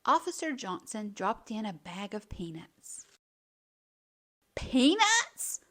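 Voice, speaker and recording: A woman reading a children's picture book aloud as a storyteller, in an animated narrating style. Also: medium pitch, a little slow.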